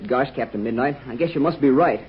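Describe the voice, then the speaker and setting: An actor playing an eager, excitable teenage boy sidekick in a 1940s radio serial. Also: mid-range voice, quick pace, breathy edge. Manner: eager, breathless exclamations. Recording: dull 1940s radio transcription.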